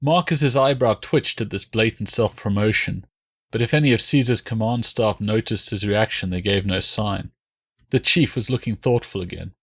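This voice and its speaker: A man's voice: lowish voice, moderate pace, gravelly, fairly bright tone, slightly rough. A man narrating a historical novel as a scripted audiobook.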